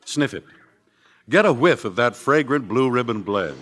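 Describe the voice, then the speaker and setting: A man's voice: mid-range voice, animated, normal speed, noisy background. A man with an American accent announcing and selling in a hearty, polished old-time radio style. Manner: hearty, polished sales delivery. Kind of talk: 1940s radio advertising and announcing. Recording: old radio broadcast recording.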